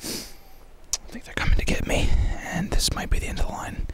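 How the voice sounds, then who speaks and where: A man whispering a casual, rambling, mock-spooky ASMR narration as he walks outdoors, recorded on a handheld shotgun mic. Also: normal speed. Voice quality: breathy whisper. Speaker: vlogger and ASMR creator. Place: outdoors in the woods, walking. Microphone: handheld shotgun microphone.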